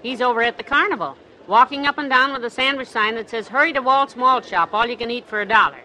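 A sensible American wife working a diner in a 1950s radio comedy. Her voice is strikingly bright and forward. She is brisk and patient, with a dry, wry edge. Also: on the high side, a little hiss or hum, low-quality recording.

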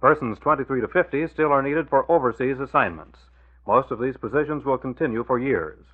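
American man, 1940s radio announcer, scripted delivery, mid-range pitch, phone-like vintage recording. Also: a little fast. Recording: thin old radio broadcast.

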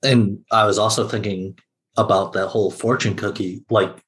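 A man's voice: on the low side, fairly even intonation, moderate pace, fairly bright tone. A man speaking as a podcast guest in a casual discussion.

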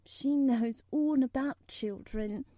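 A woman's voice: sits high, animated, measured pace, mellow, no echo, pitched above the performer's own voice. A woman voicing a timid, earnest little girl with a tremulous, pleading manner in a storybook reading.

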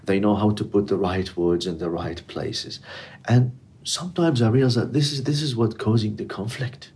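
A man's voice: on the low side, moderate pace, a little mellow, breathy edge. A middle-aged man whose first language is Arabic, speaking earnestly in a casual interview with non-native English grammar. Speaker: interviewee, community educator.

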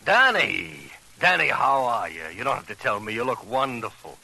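A man's voice: medium pitch, big pitch swings, normal speed, gravelly, sharp tone, full character voice. An actor playing an effusive, evasive retired crook who dotes on his pigeons and repeats his words, in an old radio crime drama. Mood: effusive, jovial. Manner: effusive, repeats words, coos over birds. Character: jovial, slippery ex-con pigeon fancier, doting and evasive. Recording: noisy old radio recording.

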